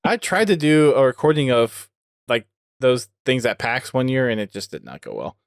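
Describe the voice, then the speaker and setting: A man in casual podcast conversation, recorded close and dry with no room sound. He hosts in a conversational way, working out his thoughts aloud at a medium pitch. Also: sing-song, moderate pace, no room sound. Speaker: podcast host.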